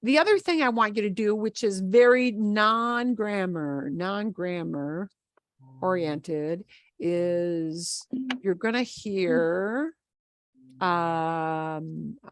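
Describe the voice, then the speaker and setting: Woman, Midwestern accent, teacher, slow speech, dry close sound, video call. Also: fairly low pitch, dry recording. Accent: American Midwestern. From American Midwest. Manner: patient, explains slowly with restarts and fillers. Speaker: Spanish teacher. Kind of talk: online class lesson.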